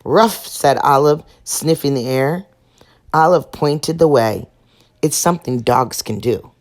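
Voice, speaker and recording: A woman's voice: extremely low pitch, measured pace, darkish tone. A woman storyteller reading a children's picture book aloud.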